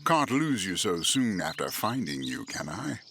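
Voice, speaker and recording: An actor playing a wealthy, menacing lord in an audio drama, drawling formally with condescending, cool amusement. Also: male voice, deep voice, big pitch swings, measured pace, gravelly, a bit bright, soft background music, full character voice. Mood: smug, menacing.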